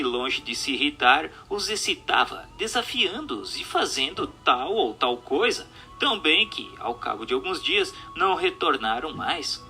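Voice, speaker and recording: A Brazilian man reading a book aloud as scripted narration, in a formal, measured and emphatic way. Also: fairly high pitch, bright, forceful tone. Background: music.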